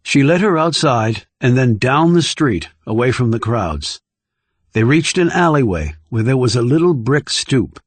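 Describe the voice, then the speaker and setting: A man's voice: mid-range voice, big pitch swings, unhurried, no echo. A man reading scripted thriller narration as an audiobook narrator.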